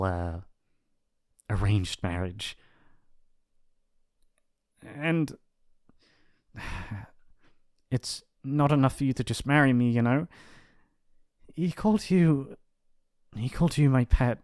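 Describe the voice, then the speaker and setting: A man's voice: mid-range voice, darkish tone, dry recording, clean studio sound, natural voice. A man playing a shy, apologetic young mafia boss's son in an intimate ASMR roleplay, with a hesitant, rambling, awkward delivery.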